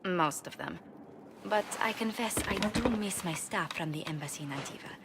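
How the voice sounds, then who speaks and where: A woman's voice: lowish voice, normal speed, very noisy, close to an everyday voice. A woman voicing a courteous court diplomat. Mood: gracious. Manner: polite, formal. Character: poised, courteous female diplomat.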